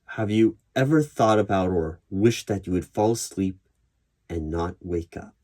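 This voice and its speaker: A man playing an interviewer in an ASMR job interview roleplay, putting probing questions in a measured way. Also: sits low, a little mellow. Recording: clear background noise. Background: computer keyboard typing and clicks.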